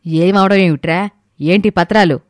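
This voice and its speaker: A man voicing an excitable, scolding mother of grown children, loud and exclaiming. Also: sits high, moderate pace, no room sound, clean studio sound, voice pushed up, lightly acted. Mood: alarmed, scolding.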